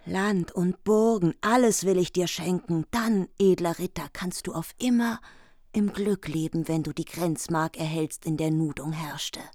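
A woman playing a scheming noble queen in a radio play, coaxing in measured, formal phrases. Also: on the low side, darkish tone, no room sound, clean studio sound, close to an everyday voice. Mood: coaxing, promising. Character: scheming noble queen bribing a knight with promises.

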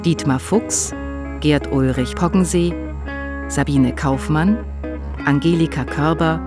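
A woman reading scripted radio drama credits as an announcer. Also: sits low.

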